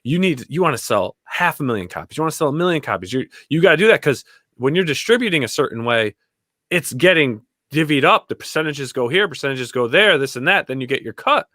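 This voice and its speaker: A man's voice: fairly high pitch, sing-song, fast, bright, forceful tone, no room sound, studio quality. A man talking bluntly and casually in an opinionated panel chat, repeating his key phrases for emphasis and swearing now and then.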